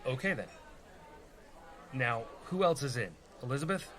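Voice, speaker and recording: A man's voice: medium pitch, animated, moderate pace, fairly bright tone, natural voice. A young rogue played by a man with a mid-range voice, casual and persuasive. Comedy radio play acting of a reluctant leader, faint crowd murmur behind. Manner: casual, bargaining.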